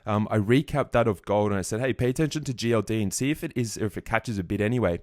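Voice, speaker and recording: A man giving a market recap over his trading charts, a bit croaky, walking through trades confidently. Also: on the low side, darkish tone, no echo, clean studio sound. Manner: fast, confident chart walkthrough. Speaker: trading educator.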